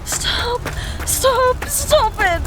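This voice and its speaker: A woman's voice: very high voice, animated, extremely slow, full character voice, low-quality recording. An actress playing a young woman mage who speaks in an anxious, pleading way in an audio drama. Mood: panicked. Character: young woman mage, frightened and guilt-stricken. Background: music.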